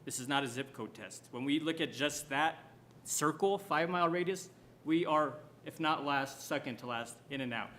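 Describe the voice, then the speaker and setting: A man's voice: a little high, normal speed, pushed and bright, a little hiss or hum. A man answering as a school board candidate, pressing his case with pointed rhetorical questions. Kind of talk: forum answer, argumentative.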